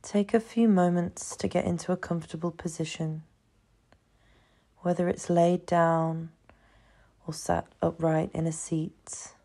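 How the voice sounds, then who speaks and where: A woman leading a guided meditation in a soothing, hushed manner, leaving long pauses between phrases. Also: lowish voice, fairly even intonation. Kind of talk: guided meditation, slow scripted narration.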